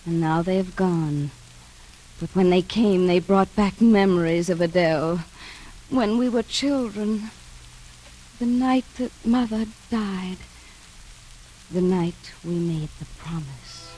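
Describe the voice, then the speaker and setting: A woman's voice: lowish voice, slow, mellow, low-quality recording. An actress in a 1940s radio drama playing a haunted, wistful woman, dreamy and hesitant as she confides her memories. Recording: vintage radio recording with music behind.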